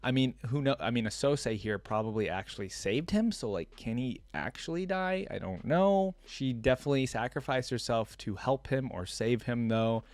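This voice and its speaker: A man's voice: medium pitch, expressive, normal speed, few pauses, a little mellow, no echo. A man hosting a reaction video, reading a fantasy story aloud and commenting in an informal, colloquial way.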